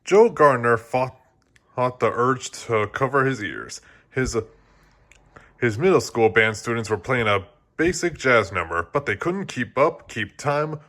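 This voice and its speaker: A man's voice: mid-range voice, fairly bright tone. A man reading a children's storybook aloud as narrator, often stumbling and restarting his phrases. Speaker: storybook reader.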